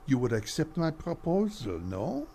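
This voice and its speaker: A man's voice: a little high, sing-song, a little slow, warm tone, slight background noise. A man playing a suave, seductive vampire with a French accent and a courtly, coaxing manner in a horror radio drama. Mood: tempting.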